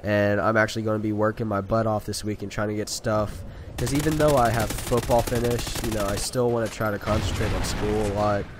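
A man's voice: on the low side, fairly even intonation, a little fast, noisy background. Sick nasal man rambling over gunfire. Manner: rambling, talkative, frequent fillers. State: sick with a stuffy nose. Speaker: gaming video creator. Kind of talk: casual gameplay commentary. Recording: voice over loud gunfire game audio. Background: video game gunfire and sound effects.

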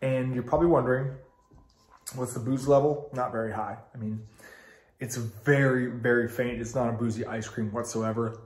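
A man in his thirties talks straight to the camera in an upbeat, chatty way. His nose is stuffed up from congestion. His voice sits at an ordinary pitch and carries a roomy echo from a small room at home. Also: steady tone, normal speed. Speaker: ice cream reviewer. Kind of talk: casual video review.

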